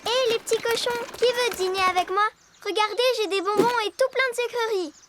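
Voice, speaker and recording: Cartoon little girl elephant: tiny high-pitched voice, bossy, stubborn, theatrical, loud music underneath. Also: fairly quick. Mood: puzzled, indignant. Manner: exclamatory, theatrical, childlike. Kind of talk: children's cartoon dubbing.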